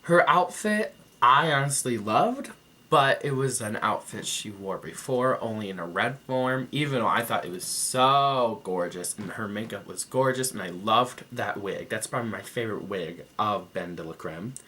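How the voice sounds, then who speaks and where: A man's voice: on the low side, lively intonation, moderate pace, sharp tone. A young man giving a sassy, profane, gossipy review to camera as a vlogger. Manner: sassy, profane and gossipy, with mocking quoted voices. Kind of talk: casual talk to camera.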